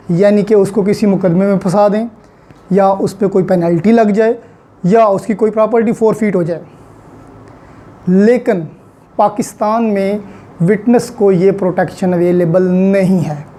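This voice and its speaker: A woman's voice: mid-range voice, warm tone. A woman with a Pakistani accent giving an explanatory lecture, going step by step in a didactic way and mixing in English technical terms. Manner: code-switches between Urdu and English legal terms. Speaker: law lecturer.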